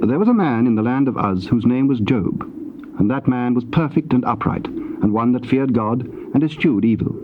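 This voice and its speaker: A man narrates scripture in a solemn, measured and declamatory way. His pitch is middling, and the dull tape recording carries faint background noise. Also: expressive.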